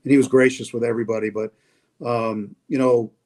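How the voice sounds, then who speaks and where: A man's voice: mid-range voice, flat delivery, brisk. A man who calls games on the radio, telling stories about colleagues in a casual, reminiscing way over a video call. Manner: anecdotal, warm reminiscing with restarts. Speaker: guest, radio play-by-play announcer. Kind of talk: casual storytelling.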